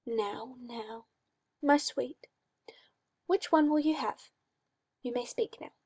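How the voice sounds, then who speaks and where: A woman's voice: a little high, a little mellow, slightly rough, no room sound, heavily acted. A cruel, possessive male magister, as a woman reader puts him on, croons with sly mock tenderness and stretched-out words. The sound is dry and close. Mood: cooing menace. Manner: cooing, drawn-out, mock-tender.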